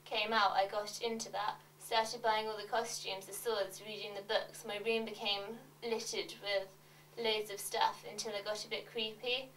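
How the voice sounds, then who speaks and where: A woman reading scripted confessions in an awkward, deadpan way. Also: medium pitch, normal speed. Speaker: reader of fans' confessions. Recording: distant mic, roomy cellar, faint noise.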